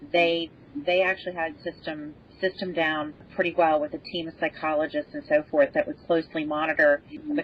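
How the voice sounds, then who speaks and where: A woman speaking as an expert guest on a panel, explaining things in measured detail. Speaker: podcast guest, space expert. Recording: muffled lo-fi audio with rumbling noise.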